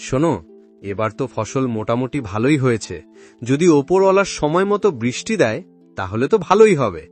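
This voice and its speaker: A man's voice: medium pitch, sing-song, a little fast, music in the background, natural voice. A man playing a hopeful, earnest village farmer with debts in a moral cartoon. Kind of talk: Bangla moral cartoon acting.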